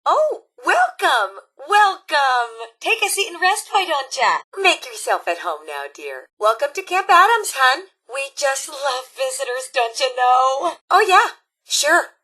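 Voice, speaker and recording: A woman voicing a cheery, motherly elderly robot scout leader in a video game, with a folksy American Upper Midwest accent and a husky edge. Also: high voice, big pitch swings, a little slow, bright, forceful tone, exaggerated voice. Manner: folksy, chirpy, sing-song, fussing over campers. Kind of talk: video game character acting.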